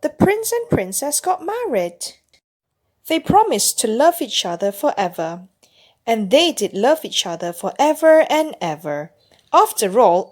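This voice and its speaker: Woman, children's read-along narration, unhurried pace, slightly husky, expressive. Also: high voice. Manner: animated, addressing young children and parents. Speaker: children's story reader.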